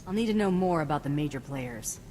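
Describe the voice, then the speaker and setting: An actress playing a wry, tough bounty hunter in a video game, terse and sardonic. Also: female voice, low-pitched, lively intonation, fairly quick, a little mellow, a little hiss or hum. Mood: dry. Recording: prominent background music under the voice.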